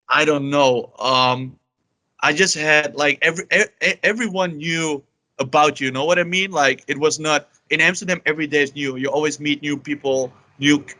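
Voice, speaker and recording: Bright-voiced Dutch man, rambling answers. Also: a little high, no echo. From Netherlands. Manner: rambling, many fillers like "you know" and "like". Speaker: guest, language learner. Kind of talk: casual interview answers.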